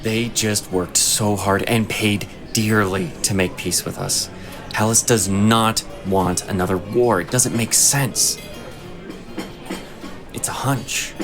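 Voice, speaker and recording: An actor playing a shrewd, composed male inquisitor in a fantasy audio drama, speaking in a measured, persuasive way. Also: on the low side, fairly even intonation, over music. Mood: earnest, persuasive.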